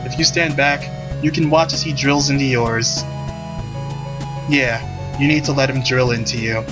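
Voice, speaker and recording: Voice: mid-range voice, steady tone, moderate pace, over music, natural voice. A reader voicing a carefree, teasing male ghost in a lazy, jovial manner for a visual novel. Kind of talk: visual novel character reading.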